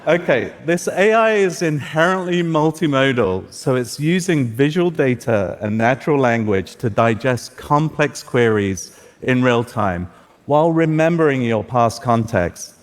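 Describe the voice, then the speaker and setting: A man whose first language is Farsi speaks on an auditorium stage, presenting a live demo in a loose, joking way with a few "uh"s. His voice sits at an ordinary middle pitch. A long hall reverb trails each phrase. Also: measured pace. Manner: relaxed, joking, with filler "uh"s. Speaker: tech presenter. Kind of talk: live product demo talk.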